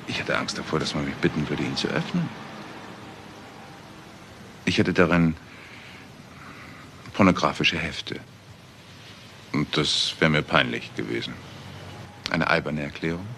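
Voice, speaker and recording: An actor playing a suave, cynical career criminal with eloquent, ironic phrasing in a German crime drama. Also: male voice, a bass voice, measured pace, vocal fry, long pauses, pushed and bright, slight background noise, heavily acted. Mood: dryly evasive. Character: suave, cynical male career criminal, self-assured and unrepentant.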